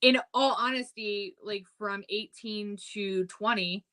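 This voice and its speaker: A woman in her thirties chatting loosely on a podcast, her voice bright and forward. She rambles through her stories, filling gaps with likes and ums. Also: medium pitch, measured pace. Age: 30s. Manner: chatty, storytelling with lots of "like" and "um". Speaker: podcast co-host. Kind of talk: casual chat.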